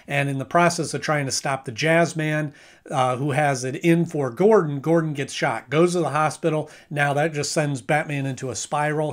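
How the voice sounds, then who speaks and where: A man talking casually about a cartoon episode for his channel, with a slightly raised voice. He rambles, circles back, and corrects himself mid-sentence. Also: a little high. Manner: rambling, self-correcting, frequent restarts and filler. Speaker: episode reviewer. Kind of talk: casual video review commentary.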